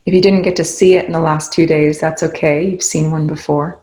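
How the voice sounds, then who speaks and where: Woman, meditation guide, low pitch, flat steady intonation, calm, gentle instruction. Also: a little fast, poor audio.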